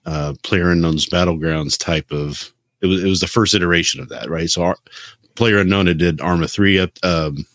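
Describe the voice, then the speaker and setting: Casual male gaming podcast co-host, deep register, explanatory storytelling delivery, dry sound. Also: steady tone, normal speed, a bit bright, dry recording. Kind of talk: casual chat about video games.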